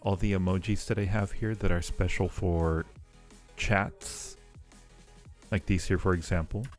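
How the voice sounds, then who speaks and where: A man chatting casually with viewers as a livestream host, sometimes halting as he explains things. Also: low voice, steady tone. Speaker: streamer and audio roleplay creator. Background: faint music.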